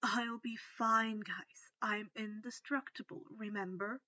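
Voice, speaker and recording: A woman's voice: on the high side, steady tone, slow, sharp tone, dry recording, muffled recording. A woman voicing a polite, anxious but brave teenage boy wizard in a storybook, hesitant and understated. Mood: resigned bravado.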